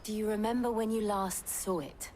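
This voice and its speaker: An actress playing a gentle, practical young adventuress in a video game, polite and level-headed. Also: female voice, medium pitch, fairly even intonation, moderate pace, a little hiss or hum, close to an everyday voice.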